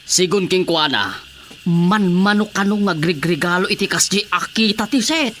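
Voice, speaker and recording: An actor playing an eager, flattering Filipino village councilor in a comedic radio drama, exclamatory and coaxing. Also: male voice, sits high, moderate pace. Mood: coaxing, teasing. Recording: faint background noise.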